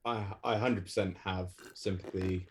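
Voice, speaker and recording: A man from England with a fairly low voice talks as a podcast guest. He rambles in a relaxed, self-deprecating way, often restarting his sentences and laughing at himself. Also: normal speed, a little hiss or hum. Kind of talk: casual podcast chat.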